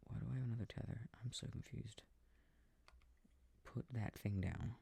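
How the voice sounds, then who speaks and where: A man softly rambling to himself as he plays a video game for a sleep aid, often dropping into whispers, with a breathy, gravelly voice close to the mic. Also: on the low side, steady tone, normal speed. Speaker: audio creator playing a video game. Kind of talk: soft rambling gameplay talk for a sleep aid. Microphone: close, dry microphone.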